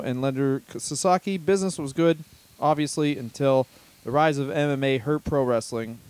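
A man's voice: fairly high pitch, dry recording. Rambling, joking podcast co-host man. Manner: rambling, profane, jokey. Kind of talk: casual chat reviewing a wrestler's career.

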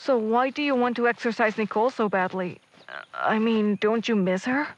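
A woman playing a defensive, conflicted young investigator in an audio drama, speaking in a flustered, halting way. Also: fairly high pitch, animated, slight background noise. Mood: wary. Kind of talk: naturalistic audio drama acting.

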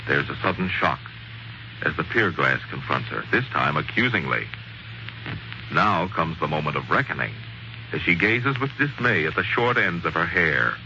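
A man narrating a 1940s radio drama in a theatrical, measured storytelling manner, on a muffled vintage broadcast recording. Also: rumbling low, animated, noisy background. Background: prominent music.

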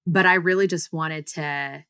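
Woman founder being interviewed, really low pitch but bright clear-cut tone, explains stuff conversationally, dry sound. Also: fairly even intonation, unhurried, no room sound. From United States and Singapore. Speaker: interview guest, startup founder.

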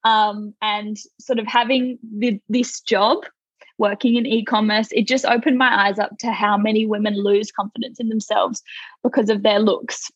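A woman's voice: medium pitch, flat delivery, moderate pace, slightly rough, dry recording, highs cut off. A woman in her twenties with an Australian accent, a podcast guest chatting casually with many fillers and restarts. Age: 20s. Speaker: guest, toy company founder.

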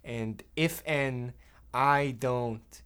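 A man playing an earnest, excitable boy sidekick with gee-whiz folksiness in an old-time radio parody. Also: mid-range voice, extremely slow, clear, resonant tone. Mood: folksy, relieved.

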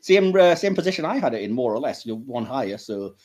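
A man with a husky voice hosting a casual podcast chat about films. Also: mid-range voice, sing-song, a little fast, darkish tone. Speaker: podcast host. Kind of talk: casual film ranking chat.

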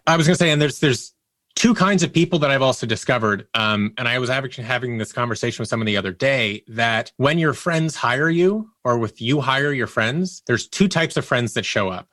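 A man's voice: medium pitch, a bit bright, no room sound. A man speaking as an interview guest and audio producer, telling stories casually and candidly and acting out bits of quoted dialogue. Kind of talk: casual conversational storytelling.

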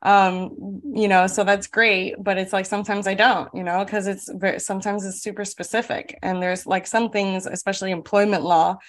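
A woman's voice: medium pitch, level tone, normal speed, few pauses. A woman hosting an interview, chatty and affirming, asking questions with many "um"s and "right?" checks. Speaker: interview host. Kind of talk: conversational interview.

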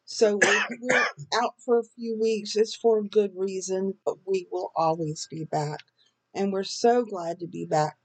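A slightly hoarse woman co-hosting a faith podcast, giving a devotional talk with scripture. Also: mid-range voice, fairly even intonation, a little slow, low-quality recording. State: slightly hoarse, recovering from illness.

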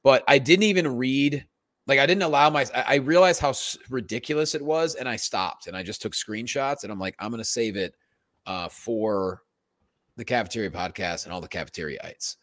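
A man's voice: medium pitch, normal speed, fairly bright tone, dry recording, clean studio sound. A man co-hosting a comedy podcast, moving between casual banter and mock-dramatic reading. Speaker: podcast co-host.

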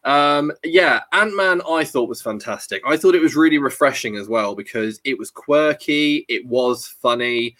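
A man's voice: mid-range voice, moderate pace, few pauses, fairly bright tone, no echo, studio quality. A man hosting a casual chat about films, chatty and rambling, reading live comments as he goes. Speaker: podcast host.

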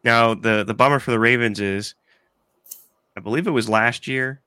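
A man's voice: mid-range voice, moderate pace, bright, forceful tone, clear, resonant tone, no room sound. Congested man hosting a sports podcast. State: congested from allergies. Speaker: podcast host. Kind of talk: casual sports chat.

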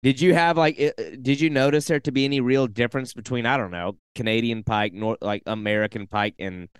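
A male podcast host with a smooth, resonant voice, recorded dry and close to the mic. He keeps the conversation easygoing and asks loose, open questions. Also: medium pitch, brisk, no room sound. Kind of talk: casual interview chat.

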